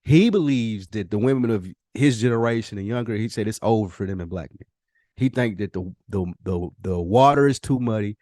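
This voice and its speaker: A man's voice: fairly low pitch, brisk, a little mellow, no echo, clean studio sound. A man chatting casually as a podcast host, blunt and questioning, with profane slang. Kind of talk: casual conversational podcast chat.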